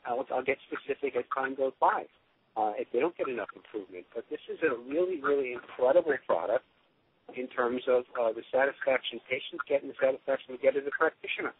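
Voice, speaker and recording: A man's voice: medium pitch, fast. A man speaking as a doctor giving an informal testimonial on a conference call, rambling and often restarting his phrases. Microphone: telephone. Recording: tinny phone line with clear noise.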